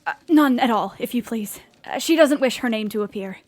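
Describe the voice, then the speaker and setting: A woman's voice: a little high, fairly quick, darkish tone, lightly acted. A woman playing an eager, polite young woman writer in an audio drama, earnest and easily flustered. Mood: hesitant, polite. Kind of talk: audio drama acting with letter narration. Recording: music behind the voice. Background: music.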